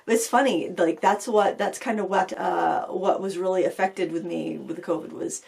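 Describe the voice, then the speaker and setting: A middle-aged woman talks loosely to her viewers from a home studio. Her voice sounds a little raspy, as if she is still getting over an illness. She rambles, stacking fillers and restarting her sentences. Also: lowish voice, steady tone, moderate pace, darkish tone. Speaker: craft channel host. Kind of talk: casual chat to camera.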